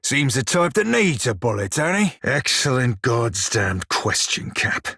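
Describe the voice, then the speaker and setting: Roguish shiphunter, game character, rough husky voice, salty slang, cocky, dry studio sound. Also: male voice, medium pitch, very animated, measured pace, sharp tone, no room sound, heavily acted. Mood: sly, teasing. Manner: salty archaic sailor slang, cocky and teasing. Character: swaggering, foul-mouthed blue-furred male pirate shiphunter, roguish. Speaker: video game companion character. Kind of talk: video game character dialogue and barks.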